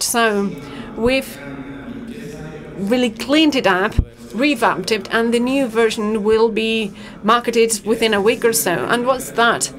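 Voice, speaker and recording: A woman interpreting a startup pitch in real time, talking fast and steadily with occasional fillers. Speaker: simultaneous interpreter. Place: conference auditorium. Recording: auditorium feed with crowd and noise.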